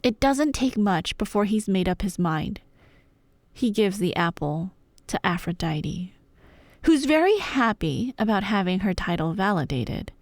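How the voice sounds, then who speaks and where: A woman telling a scripted myth as ASMR roleplay, conversational and wry, with dramatic pauses and emphasis. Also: medium pitch, very animated, no room sound.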